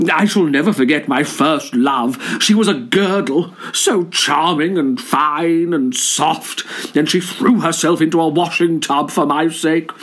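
A man voicing a vain, boastful male shirt collar in a storybook reading, pompous and flattering. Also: on the high side.

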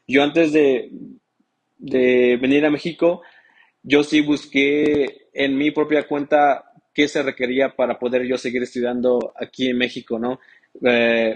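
Hesitant, flat-voiced man raised in the US. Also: medium pitch. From United States. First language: English. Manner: hesitant, many fillers and restarts. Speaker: interview guest. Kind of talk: casual interview talk.

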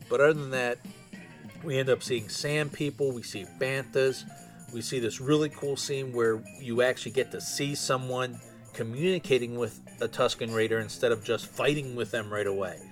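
Casual man podcasting over soft music. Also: medium pitch, fairly even intonation, moderate pace. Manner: informal, conversational. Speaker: podcast host. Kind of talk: casual solo fan podcast chat.

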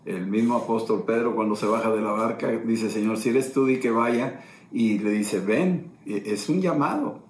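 A man's voice: on the low side, moderate pace, slight background noise. Male pastor preaching in an echoey room. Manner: explanatory preaching, retelling scripture. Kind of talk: sermon teaching. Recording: echoey room, faint ambience.

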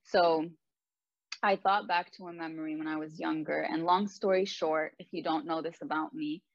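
A woman's voice: low-pitched, fairly even intonation, moderate pace, vocal fry, no room sound, muffled recording. A young woman from Lebanon telling her own life story, candid and earnest, with frequent "like" and "you know" asides. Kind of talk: personal reflective monologue.

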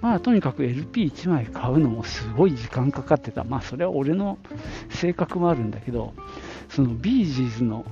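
Gravelly middle-aged man reminiscing. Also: mid-range voice, sing-song, brisk. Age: middle-aged or older. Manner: reflective, conversational, thinking aloud. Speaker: podcast host. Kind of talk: casual solo reminiscing. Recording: dull lo-fi audio, prominent music. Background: music.

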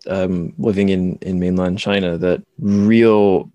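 A man with a deep, low voice hosts a reflective podcast conversation. He muses tentatively and measures out his thoughts. The sound is close and dry, with very little room echo. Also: steady tone, slow, warm tone. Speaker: podcast host, interviewer.

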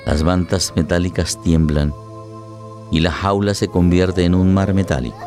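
Radio drama narrator, male, fairly low register, scripted dramatic storytelling over a soft music bed. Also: moderate pace.

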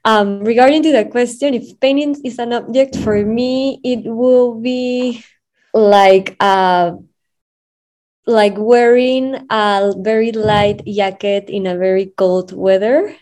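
A woman's voice: on the high side, steady tone, takes it slowly, a little mellow. A woman from Peru with a Peruvian Spanish accent, talking casually as an interview guest, with hesitant phrasing and frequent giggles. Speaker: podcast guest, social enterprise co-founder.

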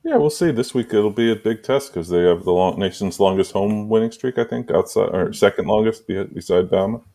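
A man's voice: on the low side, a little fast, warm tone. A man hosting a casual sports podcast, telling anecdotes in a loose, rambling way. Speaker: podcast host. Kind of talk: casual sports banter and storytelling.

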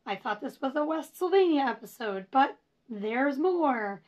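A woman hosting a casual history podcast, chatty and self-deprecating with rambling asides, her voice going hoarse. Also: high voice, lively intonation, a little slow, a little mellow. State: losing her voice. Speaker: podcast host. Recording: dull lo-fi recording.

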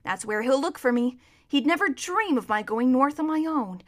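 Woman voicing a proud, emphatic, high-voiced talking war horse. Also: expressive, normal speed. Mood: assured. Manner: emphatic, superior, lecturing. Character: proud, bossy male talking war horse, blunt but kindly. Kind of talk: children's storybook character reading.

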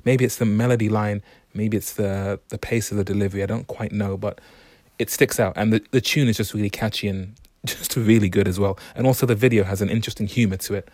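A young man hosting a solo podcast, talking in a relaxed, reflective, unhurried way. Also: lowish voice, no echo, studio quality. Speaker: podcast host. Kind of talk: casual solo podcast monologue.

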